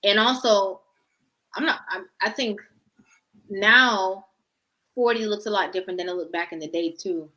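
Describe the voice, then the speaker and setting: A woman's voice: medium pitch, moderate pace, pauses often, pushed and bright, low-quality recording. A woman hosting a casual live chat, talking freely and backing up her guest with "right" and "mm-hmm.". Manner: chatty, affirming with "right" and "mm-hmm". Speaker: host.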